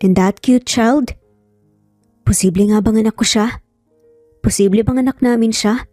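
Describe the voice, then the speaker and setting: A woman's voice: fairly high pitch, measured pace, warm tone, dry recording, clean studio sound, faint music behind. A Filipino woman voicing a smitten, brooding young businessman in a romance story, feigning composure and mixing in English. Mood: brooding, self-questioning. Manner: code-switches between Filipino and English.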